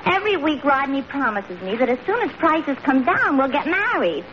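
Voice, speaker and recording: A woman's voice: sits high, big pitch swings, moderate pace. An actress playing a man-hungry, easily indignant New working woman in a 1940s radio comedy. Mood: indignant, nosy. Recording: noisy, muffled old radio broadcast, audience.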